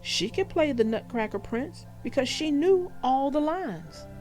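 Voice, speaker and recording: A woman narrating for young children in an expressive, reassuring way, as if reading aloud and talking directly to them. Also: sits high, a little slow, faint music behind, rough recording. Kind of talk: read-aloud storytelling and advice to young listeners.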